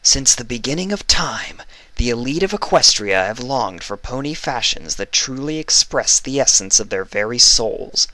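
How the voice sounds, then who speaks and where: A man voicing a smooth, pompous pony announcer at a fashion show in a story, speaking theatrically with dramatic pauses. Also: medium pitch, expressive, pushed and bright, no room sound, pitched above the performer's own voice, low-quality recording. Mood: grand, building.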